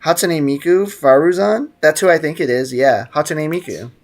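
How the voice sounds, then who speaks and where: A man's voice: fairly high pitch, moderate pace. A man livestreaming a game, chatting casually with slang and teasing his viewers. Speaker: game streamer. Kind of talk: casual livestream gaming chat, reacting to game and chat.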